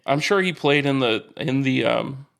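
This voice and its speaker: A man's voice: medium pitch, lively intonation, moderate pace, vocal fry, a bit bright. A man co-hosting a sports podcast in casual banter, teasing and quizzing as he chats. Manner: teasing, quizzing his co-host.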